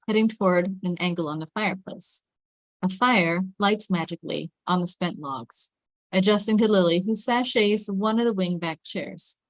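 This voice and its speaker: A woman's voice: mid-range voice, no echo, poor audio. A woman reading stage directions as the narrator of a scripted drama table read.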